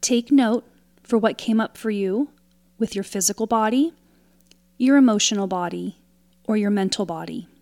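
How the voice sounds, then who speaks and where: A woman leading a guided meditation in a calm, soothing, instructional way, leaving pauses between short phrases. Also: mid-range voice, dry recording. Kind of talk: guided meditation, scripted narration.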